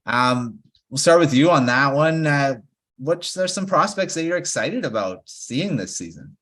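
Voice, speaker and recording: A man hosting a casual podcast roundtable, relaxed and quick to chuckle. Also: fairly high pitch. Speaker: podcast host.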